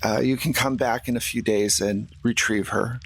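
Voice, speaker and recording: Tabletop game troll, played by a man: mid-pitched, halting, plain-spoken, pragmatic and sorry. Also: steady tone, a bit bright, slightly rough. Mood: apologetic, resigned. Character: pragmatic, apologetic male troll protecting his kind.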